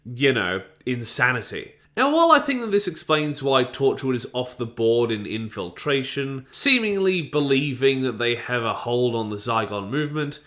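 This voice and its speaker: A man talks through his review of an audio drama from a script. His pitch rises and falls a lot, leaping high on the words he wants to stress. His tone is clear, bright and a little sharp. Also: very animated, normal speed.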